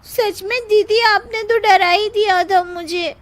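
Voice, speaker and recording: A woman's voice: very high-pitched, steady tone, measured pace, pitched above the performer's own voice. A woman voicing a mischievous teenage girl who loves pranks, talking in a giggly, teasing way for a cartoon story. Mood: playful.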